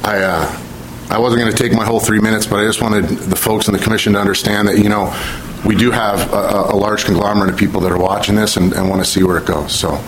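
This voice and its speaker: A man in his thirties from Washington State, making a public comment at a commission meeting, earnest and halting, with many fillers. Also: fairly low pitch, fairly even intonation, slight background noise, rough recording. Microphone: speaking away from a mic.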